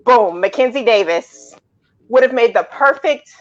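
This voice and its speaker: A woman's voice: on the high side, expressive, a little slow. Woman panelist, slightly muffled sound. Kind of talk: casual panel movie debate. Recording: dull lo-fi audio.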